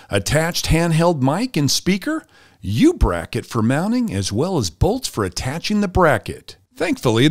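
A man's voice: a little high, no room sound, clean studio sound. A man hosting a product review channel, reading a scripted review as a voice-over.

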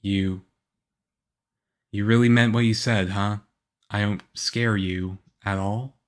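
A man playing a shy, moody, lonely young half-vampire boyfriend in an ASMR roleplay, with a hesitant, halting, earnest delivery. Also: on the low side, fairly even intonation. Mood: hesitant, touched.

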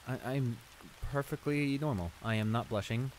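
A man's voice: medium pitch, normal speed, lightly acted, low-quality recording. A man playing a shy, flustered school bully in an audio roleplay, stammering and hesitant as he apologizes and gets embarrassed. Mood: flustered denial. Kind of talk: intimate improvised audio roleplay acting. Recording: rain noise behind the voice. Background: steady rain.

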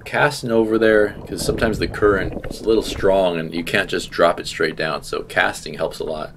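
A man's voice: low voice, fairly even intonation, normal speed, creaky, noisy background. A man in his thirties narrating his own fishing video with enthusiasm, explaining as he goes. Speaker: fishing vlogger. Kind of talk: casual voice-over narration.

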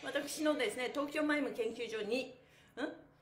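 A woman's voice: high-pitched, unhurried, slight background noise. A cheerful, encouraging woman instructing a livestream stretch class, chatty and giggly, with chanted phrases as counts. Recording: echoey room.

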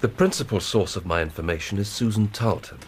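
An English actor playing an earnest, plain-spoken police inspector in a radio drama, reporting methodically and emphatically. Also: male voice, on the low side, fast, a little hiss or hum, close to an everyday voice. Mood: earnest, matter-of-fact. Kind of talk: 1990s British radio drama acting.

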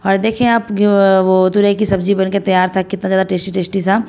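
A woman in her thirties from India, narrating her home chores in a chatty, homely voice-over, still hoarse after a cold. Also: medium pitch, little pitch movement, a little fast, darkish tone. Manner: chatty, homely, rambling with fillers. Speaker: vlogger.

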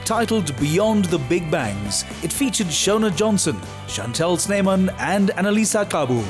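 A man's voice: high voice, very animated, measured pace. A man reading scripted television narration. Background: loud music.

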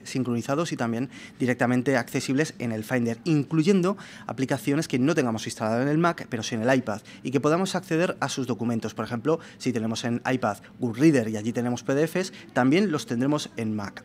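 A young man explains news to camera in the manner of a tech presenter. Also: medium pitch. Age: young adult. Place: meeting room with large window, indoors. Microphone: microphone on a desk arm. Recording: clear background room noise.

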